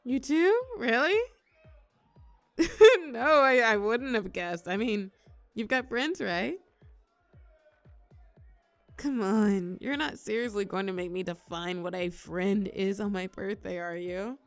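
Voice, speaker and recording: A young woman acting a shy, awkward, gently teasing birthday girl in a scripted audio roleplay, with a halting, expressive, conversational delivery. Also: mid-range voice, no room sound, faint music behind. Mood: awkward, playful. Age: young adult. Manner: bashful, halting, giggly, expressive.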